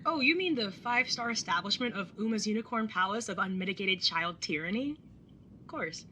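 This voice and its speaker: A woman's voice: medium pitch, expressive, a little fast, bright, forceful tone, a little hiss or hum. A young woman playing a caring, slightly awkward and wry older sibling in a casual audio drama. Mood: teasing, friendly. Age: young adult. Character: awkward, caring older sibling, early twenties, tattooed, teasing. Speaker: narrator and lead character. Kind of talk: audio drama acting with first-person narration.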